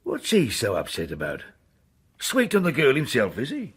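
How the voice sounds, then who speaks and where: A sly old sea captain in a radio play. His husky, mid-pitched voice rises and falls freely, with an earthy, colloquial, insinuating drawl of talk. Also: wildly swooping pitch, normal speed, full character voice. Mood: sly, teasing. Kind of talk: radio drama acting.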